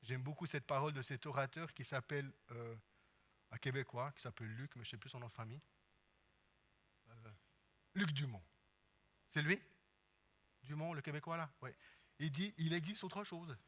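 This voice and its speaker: A man with a Swiss French accent preaching a sermon as pastor, peppering his talk with amens and hallelujahs and swinging between hushed and loud passages. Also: medium pitch, normal speed, pauses often.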